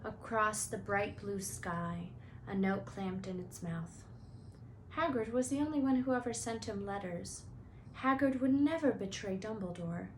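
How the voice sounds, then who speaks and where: A woman narrates a storybook aloud in an expressive way. Her voice is of middling pitch. There is faint noise in the background of the recording. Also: measured pace, low-quality recording.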